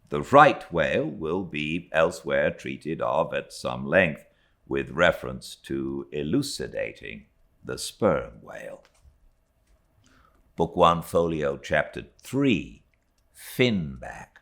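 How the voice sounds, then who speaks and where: A British man narrating a classic novel as an audiobook, with deliberate, emphatic and measured phrasing. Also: deep voice, fairly bright tone. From Britain. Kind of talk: expressive literary audiobook reading.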